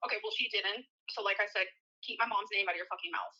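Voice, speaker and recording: A woman's voice: mid-range voice, fast talker, pushed and bright, slightly rough, lo-fi sound. A woman speaking bluntly in a casual, confrontational phone conversation, recorded from the call. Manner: blunt, swearing.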